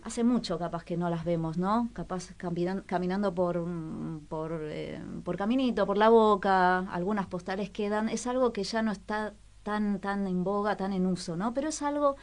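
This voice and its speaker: A woman hosting a radio culture segment, talking casually with many small pauses and fillers. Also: mid-range voice. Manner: chatty, hesitant with frequent fillers.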